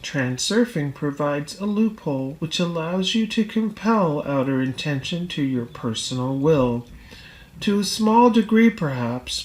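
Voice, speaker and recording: A woman reading a self-help book aloud as scripted narration, in a deliberate, measured manner. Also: low voice, darkish tone, low-quality recording. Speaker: audiobook reader.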